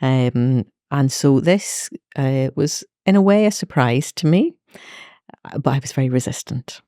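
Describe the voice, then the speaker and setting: A woman's voice: extremely low pitch, a little slow, dark tone, no room sound. Thoughtful Belfast woman, dry close sound. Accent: Northern Irish, Belfast. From Northern Ireland. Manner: thoughtful, many fillers and restarts. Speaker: guest, medical educator. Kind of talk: reflective interview talk.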